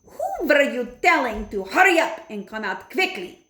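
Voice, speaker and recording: A woman's voice: high voice, big pitch swings, sharp tone, reverberant, voice pushed up, exaggerated voice. A woman voicing an evil, imperious female witch leader who snaps commands. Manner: snapping, commanding, drawn-out.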